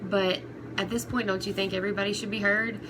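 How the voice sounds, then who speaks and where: Clear background noise sits behind a woman with a mid-pitched voice. She talks off the cuff like a vlogger addressing subscribers, halting and rambling in a conversational way. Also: level tone. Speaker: YouTube commentary host. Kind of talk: casual unscripted vlog talk to viewers.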